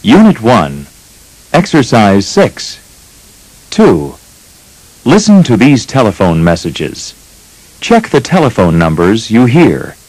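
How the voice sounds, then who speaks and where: A man reading instructions as narrator of a language course, slow and deliberate. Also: fairly low pitch, huge pitch swings, darkish tone, no echo, low-quality recording.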